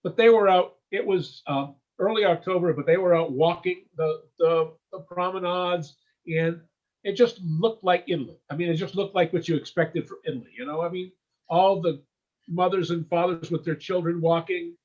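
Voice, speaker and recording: A man from the United States in a casual interview, rambling warmly and tagging his sentences with "okay?" and "you know?". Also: high-pitched, fairly even intonation, normal speed, dull audio.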